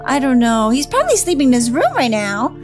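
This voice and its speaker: A woman voicing a sleepy, dutiful schoolgirl big sister in an animated roleplay story, hesitant and mildly exasperated. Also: high-pitched, brisk, loud music behind the voice.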